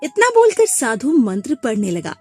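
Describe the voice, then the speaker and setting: A woman narrating a scripted moral tale as an expressive storyteller. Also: sits high, moderate pace, slightly rough, soft background music.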